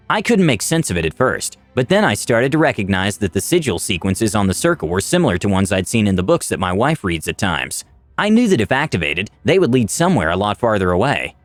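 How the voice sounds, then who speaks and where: A man's voice: lowish voice, no echo. A man narrating a scripted first-person fantasy story in a dramatic storytelling style.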